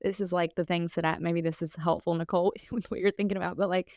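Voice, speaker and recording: A woman's voice: fairly low pitch, steady tone, fast talker, a little mellow, dry recording, studio quality. A woman in her thirties co-hosting a podcast, talking in a candid, casual way with lots of fillers and bits of laughter. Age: 30s. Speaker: podcast co-host. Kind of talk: casual chat.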